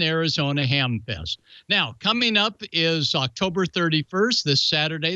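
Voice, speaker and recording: A man's voice: fairly high pitch, sharp tone, no room sound, highs cut off. A man hosting a show and presenting amateur radio club news in a folksy, jokey announcer's way. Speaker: show host.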